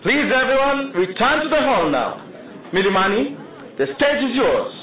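A man's voice: very high-pitched, very animated, unhurried, heavily acted. A man playing a formal festival announcer calling to an audience in a radio drama. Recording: distant, echoey hall, narrow band.

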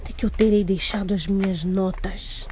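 An actress playing a stern, watchful school principal in a radio drama, speaking with authority. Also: female voice, medium pitch, fairly even intonation, moderate pace, crowd noise, lightly acted. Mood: absent-minded. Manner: authoritative, crisp commands.

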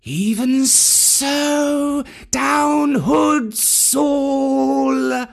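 A reader voicing a solemn chorus of cobras, with drawn-out, hushed calls. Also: high-pitched, gravelly, voice pushed up, exaggerated voice. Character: chorus of low-voiced cobras.

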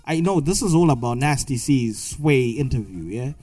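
A man's voice: mid-range voice, animated, normal speed, a little mellow, faint music behind. A man with a Zimbabwean accent co-hosting a podcast, combative and interrupting with pointed questions. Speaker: podcast co-host. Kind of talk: heated casual debate.

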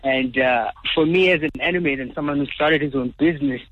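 A South African man talking as an interview guest in a reflective, halting, casual way, with slightly dull radio sound. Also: on the high side. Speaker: guest, animator and studio founder.

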